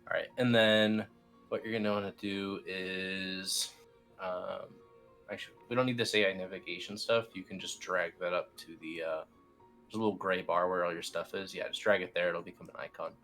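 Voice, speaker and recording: Low-voiced man walking someone through software, music behind. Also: fairly even intonation, moderate pace. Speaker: team lead explaining software steps. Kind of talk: casual step-by-step screen tutorial.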